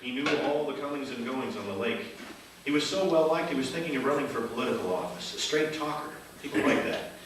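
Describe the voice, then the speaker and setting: A man playing a wry mystery writer, narrating a noir parody deadpan in live radio theater. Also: medium pitch, fast, natural voice. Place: theater stage, live performance. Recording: echoey theater, distant, faint noise.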